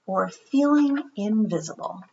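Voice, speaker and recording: Animated woman reading aloud, slightly muffled recording. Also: mid-range voice. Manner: dramatic, with emphatic pauses and acted-out dialogue. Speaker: storyteller reading a picture book aloud. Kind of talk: expressive read-aloud for children.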